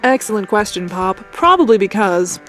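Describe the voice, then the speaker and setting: A woman's voice: mid-range voice, sing-song, moderate pace, a bit bright, faint music behind. A woman playing a sarcastic teenage girl whose date stood her up, with a drawling manner.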